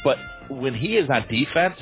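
Excited forties man over a tinny line. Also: mid-range voice, moderate pace. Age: 40s. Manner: enthusiastic, emphatic, repeats words. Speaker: radio color commentator. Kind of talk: high school football radio commentary. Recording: tinny phone-like radio line with faint music.

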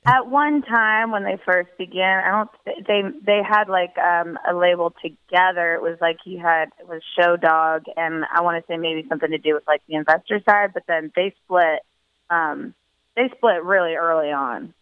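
A woman's voice: lowish voice, steady tone, normal speed, clean tone, no room sound. A young woman from Pennsylvania, a singer, chatting casually as an interview guest with lots of laughs and restarts over the phone. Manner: chatty, giggly, many restarts. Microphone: telephone. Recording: tinny phone line.

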